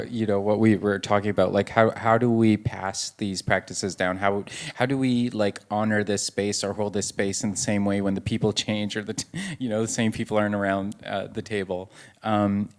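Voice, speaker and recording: A man moderating a public panel in a conversational, discursive way, rephrasing his thoughts as he goes. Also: on the low side. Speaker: panel moderator and training leader.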